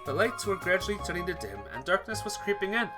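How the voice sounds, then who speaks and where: A let's player reading a visual novel aloud as expressive narration, sometimes stumbling and rereading phrases. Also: mid-range voice, fast, music in the background. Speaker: video game let's player.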